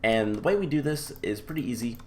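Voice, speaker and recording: A man giving relaxed, chatty commentary over a modded game, his voice a little off because he is coming down with a cold. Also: medium pitch, fast. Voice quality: a little off from illness. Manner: relaxed and chatty with many ums. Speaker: gameplay commentator. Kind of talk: casual let's-play commentary.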